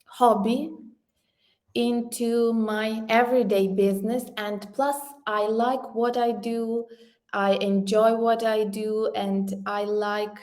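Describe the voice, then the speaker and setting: A woman from Ukraine leads an online workshop over a video call. She speaks slowly and carefully at a middle pitch, pausing on fillers and restarting words as she goes. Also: darkish tone. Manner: slow, hesitant, many fillers and restarts. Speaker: workshop presenter, online seller.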